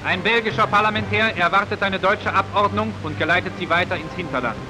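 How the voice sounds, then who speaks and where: A high-voiced male narrator delivers a wartime newsreel in the formal, declamatory style of the 1940s. Music plays behind him. The sound is that of an old film soundtrack. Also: fast talker, low-quality recording.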